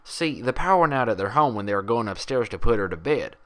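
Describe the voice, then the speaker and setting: A man's voice: mid-range voice, animated, fast talker, a bit bright, close to an everyday voice. A man voicing a frank, proud, friendly female pony doctor with a folksy way of talking, in a story reading. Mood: frank, explaining.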